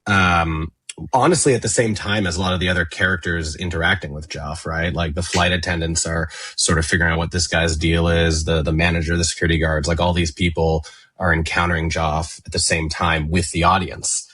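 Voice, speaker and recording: Deep, husky man talking casually, close and dry. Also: steady tone, brisk, bright, forceful tone, no room sound. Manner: hesitant, thoughtful, often checking with "right?". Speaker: cast member and podcast panelist. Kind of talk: casual analytical discussion.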